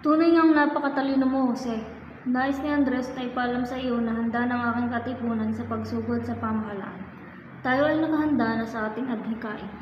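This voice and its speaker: A woman's voice: a little high, fairly even intonation, brisk, a little hiss or hum, close to an everyday voice. A woman playing a solemn, devoted figure in an amateur historical radio drama, with formal, declaimed delivery. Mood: earnest, urging. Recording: muffled, echoey reverberant hall, music behind.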